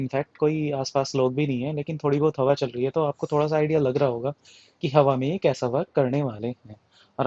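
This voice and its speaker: Casual male gadget reviewer, Indian accent, slightly high voice, explanatory delivery with English phrases mixed in. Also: darkish tone, muffled recording. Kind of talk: casual product review to camera.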